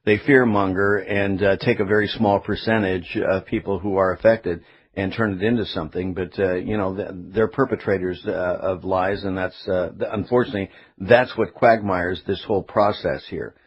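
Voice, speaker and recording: A man's voice: sits low, fairly even intonation, moderate pace, husky. A man hosting a live talk radio interview. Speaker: radio host. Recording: slightly dull radio broadcast sound.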